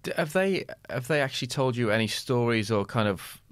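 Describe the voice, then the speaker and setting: A man hosting a podcast interview, asking questions in a relaxed, casual way, with the odd swear word. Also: medium pitch, fairly quick. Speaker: podcast host. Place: small padded podcast studio. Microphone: studio mic on boom arm.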